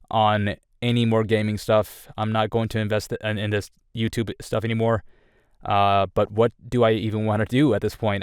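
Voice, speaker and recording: A man's voice: medium pitch, smooth, no room sound, clean studio sound. A young man giving a candid personal update on his channel, rambling with frequent restarts. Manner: earnest and candid. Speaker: YouTuber.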